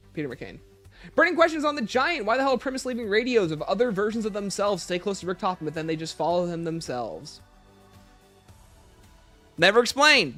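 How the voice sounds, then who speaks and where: Male streamer, higher-pitched and lively, casual Q&A delivery, faint music underneath. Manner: informal, thinks aloud. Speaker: livestream host. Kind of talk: casual livestream Q&A, reading out and answering chat questions.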